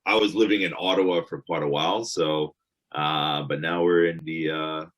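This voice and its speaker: Low-voiced Canadian man, hesitant. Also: fairly even intonation, moderate pace, a bit bright. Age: 30s. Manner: hesitant, many fillers. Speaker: musician guest. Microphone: video call.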